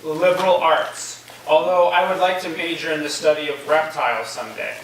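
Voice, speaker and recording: A man plays a bookish young student in a staged reading, earnest and polite. The high voice is mild. It comes from far off in a very echoey, noisy room. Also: fairly even intonation, moderate pace, close to an everyday voice. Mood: friendly, earnest.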